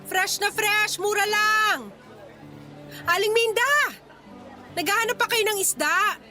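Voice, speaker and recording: A woman's voice: very high-pitched, slow pace, sharp tone, faint music behind, a faint crowd, heavily acted. A woman playing a young, cheeky and persuasive market fish vendor with a Filipino accent in a radio drama. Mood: eager, persuasive. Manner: pleading sales patter with teasing comebacks.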